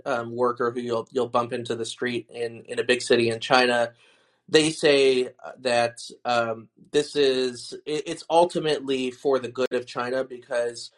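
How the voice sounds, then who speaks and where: A man who works as a foreign correspondent, answering podcast interview questions in a thoughtful way with many fillers and restarts. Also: mid-range voice.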